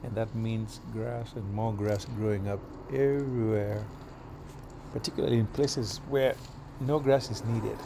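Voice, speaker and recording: A middle-aged man from Kenya chatting casually while doing yard work outdoors, with relaxed pauses and fillers. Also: lowish voice, creaky, noisy background. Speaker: vlogger.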